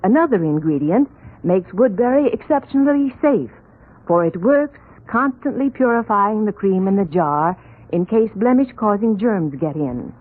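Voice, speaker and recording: Casting: female vintage radio pitchwoman, lively intonation, scripted sell, narrow old broadcast sound. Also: normal speed. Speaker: commercial announcer. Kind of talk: scripted 1940s radio advertisement read. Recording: muffled narrowband 1940s radio recording.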